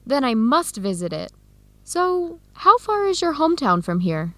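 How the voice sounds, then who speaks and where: Friendly eager student girl in a radio drama, highish voice, earnest, very dry sound. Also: big pitch swings, a little slow, dry recording. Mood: curious, friendly. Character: eager young Ethiopian American woman student, friendly and considerate. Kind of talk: scripted educational radio drama dialogue.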